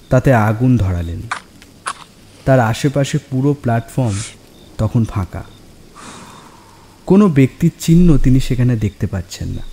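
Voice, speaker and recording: A man narrating a scripted horror story in a measured, suspenseful way. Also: mid-range voice, dark tone. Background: music and rain.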